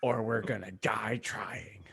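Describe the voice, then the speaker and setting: A man's voice: fairly low pitch, steady tone, slow pace, gravelly, a bit bright, husky, voice pushed down, full character voice. A man voicing a sullen schoolboy bully in a comic fan fiction reading, with muttered, theatrical menace. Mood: menacing. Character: sullen schoolboy bully, Draco, vowing petty revenge.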